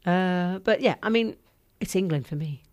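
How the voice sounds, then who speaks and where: A woman's voice: fairly low pitch, normal speed, a little mellow. A woman hosting a radio show in casual group chat, often prompting the others with questions. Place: radio studio.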